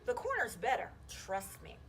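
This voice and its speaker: A woman's voice: fairly high pitch, huge pitch swings, normal speed, fairly bright tone, slight background noise, exaggerated voice. A woman voicing a confident, persuasive young girl who explains insistently, in a children's storybook.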